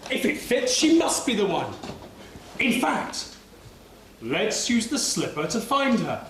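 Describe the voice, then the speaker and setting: A man's voice: high-pitched, huge pitch swings, slow, fairly bright tone, heavily acted. A man playing a romantic, earnest young prince in an amateur stage pantomime, with declamatory delivery. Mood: hopeful. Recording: echoey hall, faint noise.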